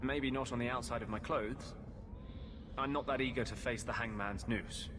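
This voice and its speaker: An actor playing an earnest rebel mage with gently ironic humour in a video game. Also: male voice, mid-range voice, fairly even intonation, moderate pace, background noise, natural voice. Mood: wry, grateful. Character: earnest, wryly humorous male rebel mage.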